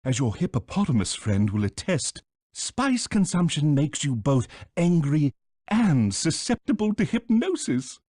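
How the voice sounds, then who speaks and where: A pompous British bird mastermind from a video game. The delivery is theatrical and condescending, with pitch that leaps and dips constantly. The sound is dry and close. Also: male voice, big pitch swings, unhurried, darkish tone, breathy edge, no room sound, full character voice. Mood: gloating, lecturing. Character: pompous, scheming, flightless male bird villain, small and elderly.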